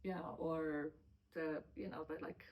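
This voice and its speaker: A woman's voice: low voice, steady tone, slow, dull audio. A woman with an American accent, a guest in a casual interview, telling stories in a chatty way with quoted asides and restarts.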